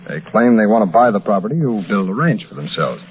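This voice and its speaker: Fast, clipped FBI agent. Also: male voice, on the low side, big pitch swings, a little hiss or hum. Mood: brisk, businesslike. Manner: clipped, matter-of-fact. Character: brisk, businesslike FBI special agent briefing a colleague. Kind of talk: 1940s radio crime drama acting. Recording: dull old-time radio recording.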